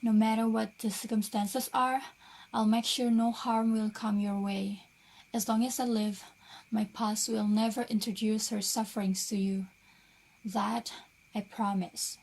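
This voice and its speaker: A woman's voice: on the high side, level tone, unhurried, darkish tone, rough recording. A woman playing a suffering but resolute young wife in an amateur radio drama.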